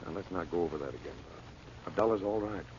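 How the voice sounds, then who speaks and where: An actor playing a worried but resolute hero in an old radio serial, speaking earnestly and firmly. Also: male voice, low-pitched, fast, darkish tone, close to an everyday voice. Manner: earnest, firm. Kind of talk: 1940s radio serial acting. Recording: muffled, noisy old radio recording.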